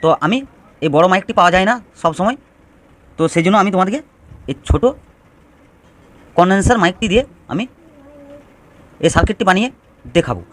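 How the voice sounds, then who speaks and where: Man, high voice, quick pace, informal tutorial narration, explanatory with repeated phrases. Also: expressive, a bit bright. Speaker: DIY electronics tutorial host.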